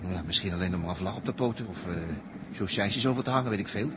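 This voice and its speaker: Guy playing jittery sarcastic captured astronaut, chatty and stammery, old radio play, clearly noisy. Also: on the low side, fast, close to an everyday voice. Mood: bewildered. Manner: colloquial, hesitant, stammering repetitions, sardonic. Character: wry, jittery male astronaut taken prisoner. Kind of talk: 1960s Dutch radio play acting.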